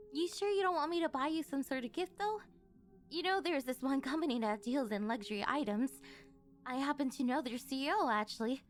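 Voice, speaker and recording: A woman playing a rich, possessive older yandere girlfriend in a scripted ASMR roleplay, coaxing sweetly and rambling a little awkwardly. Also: sits high. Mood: coaxing, affectionate.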